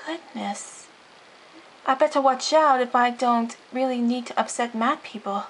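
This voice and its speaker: A woman's voice: a little high, a little slow, rough recording. A woman playing a polite, curious, flustered young cartoon girl who speaks hesitantly and earnestly. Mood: uneasy. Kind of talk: cartoon fandub acting. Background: music.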